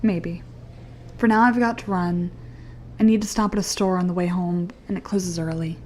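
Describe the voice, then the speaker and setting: A woman's voice: lowish voice, steady tone, normal speed, darkish tone, slight background noise, close to an everyday voice. A woman playing a brave, wry young grad student scientist in an audio drama, urgent in manner. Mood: weary, guarded.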